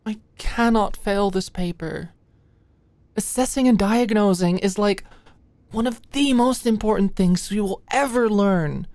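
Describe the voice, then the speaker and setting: A woman acts out a stressed young female medical student in an intimate audio roleplay. She is self-doubting and sweet, stumbling over her words in hesitant rambles. Her voice sits at a middle pitch, recorded very dry and close. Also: a little slow, warm tone, dry recording, lightly acted. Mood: weary, frustrated. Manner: rambling, stammering, hesitant, swearing. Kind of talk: intimate ASMR roleplay monologue.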